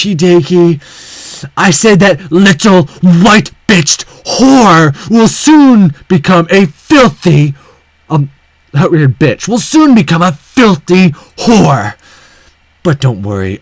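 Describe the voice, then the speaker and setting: A man voicing a callous older male yakuza villain in a visual novel, sneering and taunting with slow, drawn-out mockery. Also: fairly high pitch, no room sound. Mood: cruel taunting.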